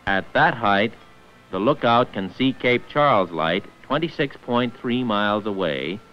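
Male narrator, 1940s instructional film: deep-ish, precise, formal, varied pitch, dated lo-fi sound. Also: lively intonation, unhurried, soft background music. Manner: formal, precise enunciation of figures.

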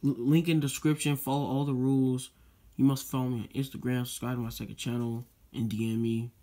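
A man's voice: mid-range voice, flat delivery, fast, warm tone. A teenage boy hosting his own video channel, talking casually with many fillers and a repeated "guys" while showing a disc case at his desk. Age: teens. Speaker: YouTube channel host. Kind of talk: casual vlog unboxing talk.

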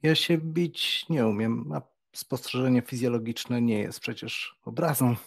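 An actor playing a phlegmatic, blunt old doctor with a deliberate, pedantic way of talking, in a dramatized audiobook. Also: male voice, mid-range voice, gravelly. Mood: calm, blunt.

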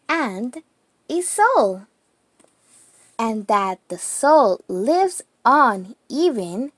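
A woman reading a children's picture book aloud, deliberately, phrase by phrase with pauses. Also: on the high side, no echo.

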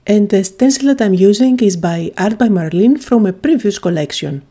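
A woman's voice: medium pitch, animated, moderate pace, mellow. Woman narrating a craft tutorial. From Greece. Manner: chatty and enthusiastic, with frequent "uh" fillers.

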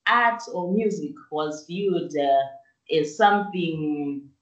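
A young woman from Zimbabwe, a musician and actress, answering interview questions over a video call in an unhurried, soft-spoken way. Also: low voice. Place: small room indoors. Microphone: video call webcam. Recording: distant, roomy, dull video-call audio.

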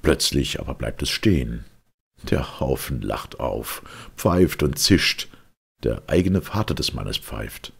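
A man narrating a literary story with solemn, theatrical weight and a gravelly, husky voice. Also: very deep voice, very animated, slow pace. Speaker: audiobook narrator.

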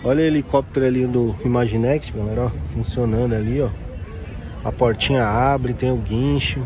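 A Brazilian man with a Brazilian Portuguese accent, filming a casual walkthrough of an outdoor flea market on a handheld phone and excitedly pointing things out as he goes. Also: medium pitch, a little fast. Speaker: toy collector vlogger. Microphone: handheld phone camera. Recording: busy crowd noise outdoors. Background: market crowd chatter and traffic.

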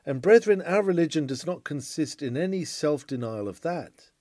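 Male sermon reader: higher-pitched, mellow, oratorical, with emphatic pauses. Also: expressive, dry recording, studio quality. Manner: declamatory, formal, emphatic phrasing with deliberate pauses. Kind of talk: oratorical sermon read aloud.

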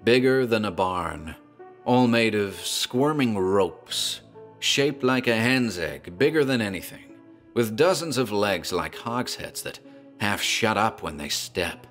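A man's voice: fairly low pitch, animated, takes it slowly, a bit bright, soft background music, exaggerated voice. A man voicing a terrified rural man who babbles in shock, his speech broken and gasping. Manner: broken, gasping, half-coherent muttering.

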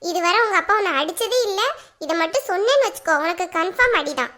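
An exasperated young mother in a family drama, acted by a woman, with a very high, bright voice. She talks bluntly and colloquially, like someone used to scolding. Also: lively intonation, quick pace, heavily acted. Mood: irritated, scolding. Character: exasperated young mother, scolding and threatening her little daughter.

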